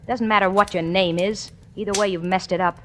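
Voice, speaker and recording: An actress playing a cold, manipulative femme fatale in a 1940s radio drama. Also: female voice, medium pitch, steady tone, brisk, a bit bright, lightly acted. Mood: cold, impatient. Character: cold, scheming female femme fatale, sweet when manipulating. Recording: noisy, clicky old radio recording.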